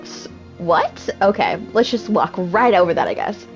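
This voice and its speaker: A woman reads a story aloud over soft music in a mid-range voice. She plays up the drama theatrically, then drops into casual, self-mocking asides as an amateur reader. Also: mid-range voice, expressive, measured pace.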